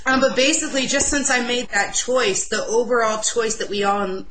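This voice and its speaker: A young woman with a loud voice, sharing an earnest, excitable personal religious testimony in an interview. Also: on the high side, moderate pace, poor audio.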